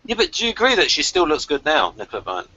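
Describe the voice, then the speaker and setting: A man's voice: a little high, huge pitch swings, quick pace, pushed and bright, dry recording. A man hosting a review show, chatting casually with his co-reviewer. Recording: muffled lo-fi call audio.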